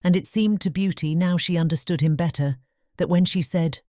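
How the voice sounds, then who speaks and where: A woman narrating a fairy tale audiobook in a measured bedtime storytelling manner. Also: lowish voice, dark tone, no echo, studio quality.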